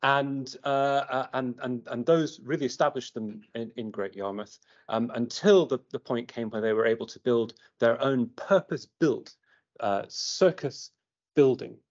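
A British man giving an informal academic presentation, speaking haltingly and often repeating his words. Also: mid-range voice, a little mellow. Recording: muffled lo-fi online meeting audio.

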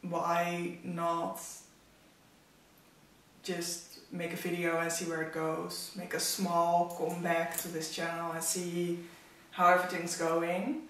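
A woman's voice: lowish voice, monotone, takes it slowly, fairly bright tone, slight background noise. A young woman with a Dutch accent, a native Dutch speaker, chatting casually to camera as a fashion vlogger in her living room. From Netherlands. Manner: chatty, opinionated about outfits. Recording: echoey room, mic at a distance.

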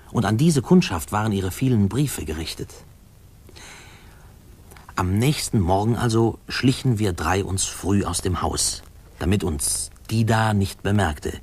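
An actor playing a man who fondly remembers his boyhood, narrating a 1950s radio play in a husky, confiding, measured way. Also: lowish voice, expressive, darkish tone. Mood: confiding, reminiscent.

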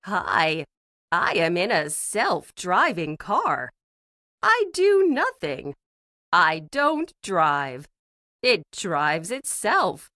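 A woman voicing a relaxed, contented elderly lady in a scripted English lesson, speaking in simple, unhurried sentences. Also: mid-range voice, no room sound, clean studio sound, exaggerated voice. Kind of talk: scripted English-lesson monologue read slowly.

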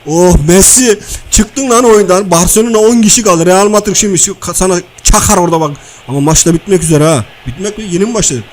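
Casting: male performer as crude, high-voiced comic everyman, slangy and blustering, nagging through cartoon game banter. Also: fast talker, hardly any pauses, close to an everyday voice. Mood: loud, outraged. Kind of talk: comic video-game banter and match commentary.

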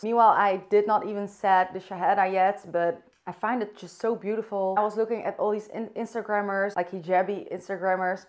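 Young dutch woman vlogging in english, soft and thoughtful, lots of ums while she finds her words. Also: medium pitch. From Netherlands. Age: young adult. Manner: soft, thoughtful, searching for words with fillers and restarts. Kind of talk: unscripted personal vlog. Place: bright room indoors by a window.

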